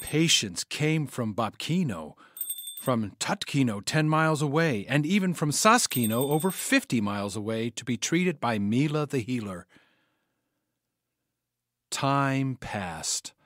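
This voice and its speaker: An actor playing an earnest, polite young servant and storyteller in an audio drama. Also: male voice, medium pitch, big pitch swings, unhurried, a bit bright, no echo, studio quality. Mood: narrating. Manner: deferential, storytelling. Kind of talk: audio drama acting and narration.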